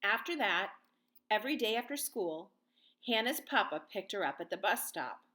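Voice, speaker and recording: Expressive American woman teaching young children. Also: medium pitch, normal speed. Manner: simple child-directed phrasing with guiding questions. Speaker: teacher reading aloud. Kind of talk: picture-book read-aloud and lesson for children.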